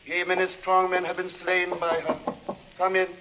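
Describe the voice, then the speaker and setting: Man acting a formal, eccentric gentleman lodger, old radio. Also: high-pitched, level tone, normal speed, muffled recording, noisy background, close to an everyday voice. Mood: fervent. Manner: formal, exceedingly polite. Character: quiet, courteous, scripture-reciting eccentric gentleman lodger. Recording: old radio drama recording.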